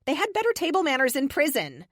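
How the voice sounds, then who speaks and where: A woman voicing a wry, teasing, sharp-tongued superheroine in an audiobook. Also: high-pitched, wildly swooping pitch, fast, no echo, studio quality, pitched above the performer's own voice, exaggerated voice. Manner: wry, mocking.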